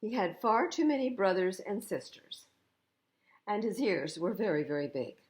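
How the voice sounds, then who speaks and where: A woman's voice: medium pitch, animated, moderate pace, a little mellow. A woman reading a picture book aloud to young children, expressively, with explanatory asides about the pictures. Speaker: children's storytime reader.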